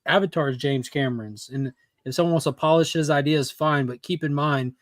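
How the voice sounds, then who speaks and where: A man's voice: a little high, fairly quick, no room sound, clean studio sound. A man on a casual pop culture panel, laying out his opinions in long explanations.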